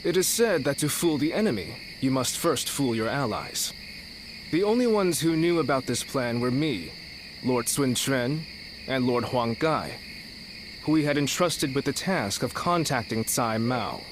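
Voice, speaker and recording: A man's voice: medium pitch, lively intonation, background noise, close to an everyday voice. Formal, commanding man acting as a military strategist. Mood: stern, accusing. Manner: formal, measured, deliberate. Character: shrewd, commanding male military strategist of ancient China. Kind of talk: video game cutscene acting.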